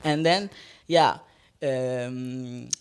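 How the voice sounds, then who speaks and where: A performance artist whose first language is Farsi, speaking English on a live panel, often pausing and searching for words. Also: medium pitch, clean tone. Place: stage talk before an audience, no microphones.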